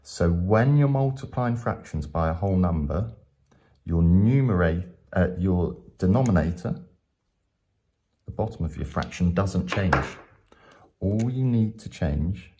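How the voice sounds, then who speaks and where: Deep, slow-talking male maths teacher. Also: animated, dark tone. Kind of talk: step-by-step explainer lesson.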